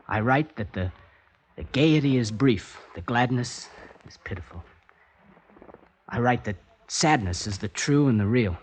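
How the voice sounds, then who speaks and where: An actor playing a thoughtful American poet with an American accent, in a 1950s radio drama. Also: male voice, on the low side, slightly rough, full character voice, low-quality recording. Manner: calm, wry, thoughtful. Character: reflective American poet abroad, a man. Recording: vintage radio broadcast with faint hiss.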